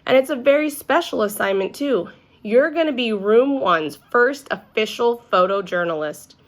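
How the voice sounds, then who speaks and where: A woman's voice: on the high side, lively intonation, normal speed, lightly acted. A woman voicing a patient, kindly male first-grade teacher in a storybook, explaining things in an encouraging way. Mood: reassuring.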